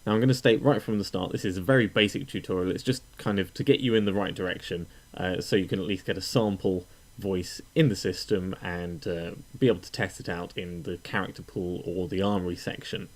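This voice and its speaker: A man narrating a casual step-by-step software tutorial in a conversational, explanatory way, pausing often with uhs. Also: deep voice, steady tone.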